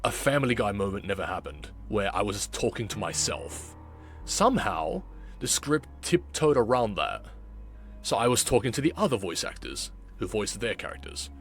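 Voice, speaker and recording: Low-voiced man, deliberate and animated vlogger. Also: faint music behind. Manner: deliberate, dramatic pauses and emphatic repetition. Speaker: video creator, former amateur voice actor. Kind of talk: scripted reflective vlog narration.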